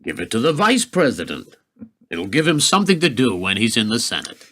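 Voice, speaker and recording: Animated, sardonic actor as male political aide. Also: medium pitch, fairly quick. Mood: cynical. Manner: sarcastic, grumbling. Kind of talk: radio drama dialogue.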